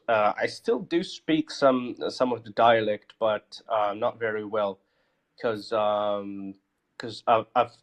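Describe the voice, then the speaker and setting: A man from Morocco, raised abroad, talking casually about his crypto project in a halting way full of ums and restarts. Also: on the low side. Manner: halting, many fillers and restarts. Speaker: project founder guest. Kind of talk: casual live audio chat.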